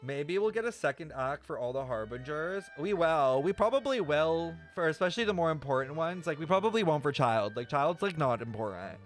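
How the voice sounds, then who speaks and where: A man's voice: fairly high pitch, animated, a little fast, few pauses, faint music behind. A man chatting casually on a game stream in a slangy, self-deprecating, talkative way. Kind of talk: casual live stream chat reacting to a game.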